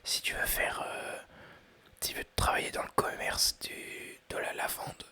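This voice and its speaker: A man in his twenties whispering ASMR-style as he thinks aloud through quiz questions, hesitant and rambling with many pauses and restarts. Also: sharp tone. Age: 20s. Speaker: ASMR creator.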